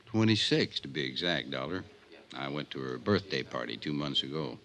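Very deep, gravelly small-town sheriff. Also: male voice, lively intonation, fairly bright tone, heavily acted. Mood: wry, knowing. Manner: folksy, drawn-out laconic replies. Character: laconic, sly small-town sheriff, a man. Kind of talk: 1950s radio drama acting. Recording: faintly noisy 1950s radio recording.

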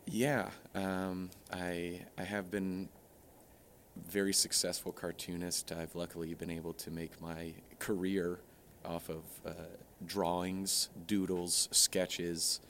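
A man speaks in a deep, even voice with very little melody. He hesitates constantly, restarting sentences and filling gaps with uh. His delivery stays deadpan, with faint background hiss. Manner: hesitant, halting, full of uh and restarts. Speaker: interview guest. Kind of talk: comedic mock interview.

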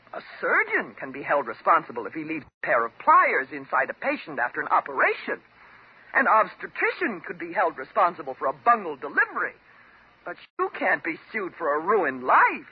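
An actress playing a bitter, vengeful jilted patient with a mocking, sarcastic and dramatic manner in a 1950s radio drama. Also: female voice, on the low side, huge pitch swings, moderate pace, slightly rough, exaggerated voice. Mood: sarcastic, accusing. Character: bitter, vengeful jilted woman patient holding doctor at gunpoint. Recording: dull old 1950s radio recording.

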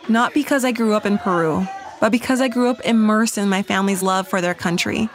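An American woman giving a scripted personal narration as a radio reporter. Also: mid-range voice. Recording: loud music underneath. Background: music.

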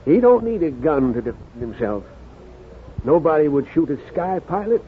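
An actor playing a chatty, folksy cafe swamper in an American 1950s radio western, with a garrulous rustic manner. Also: male voice, on the high side, sing-song, moderate pace. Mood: gossipy, amused. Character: chatty, folksy old-West cafe swamper, gossipy man. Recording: muffled, noisy 1950s radio recording.

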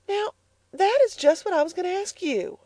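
Woman voicing a proud young farm girl, high and earnest, determined, dry sound. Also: moderate pace, warm tone, no echo. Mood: pleading. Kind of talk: audiobook character voice.

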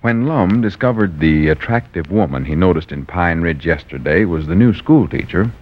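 A man announcing and narrating a 1940s radio show in a smooth, friendly sales-pitch manner. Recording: dull old 1940s radio recording.

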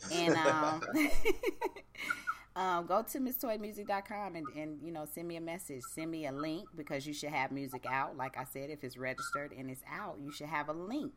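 Deep low-voiced woman host, blunt and profane, laid-back slangy radio talk. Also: normal speed. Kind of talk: casual radio chat.

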